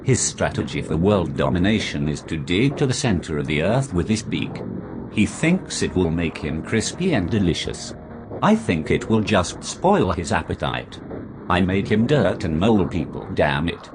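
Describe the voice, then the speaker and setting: A man delivering an absurd scripted monologue in a deadpan manner. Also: low-pitched, moderate pace. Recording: noisy recording.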